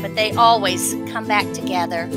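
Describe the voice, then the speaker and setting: A woman's voice: medium pitch, fairly even intonation, normal speed, loud music behind the voice. A middle-aged woman hosting storytime, chatting to young children. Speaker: storytime host. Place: small indoor room.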